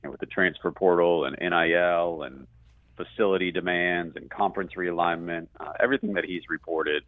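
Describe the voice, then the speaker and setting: A man's voice: low voice, fairly even intonation, fairly quick, no room sound. A man talking sports as a radio play-by-play guest, in a chatty, conversational analysis style. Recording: muffled, band-limited phone-like line.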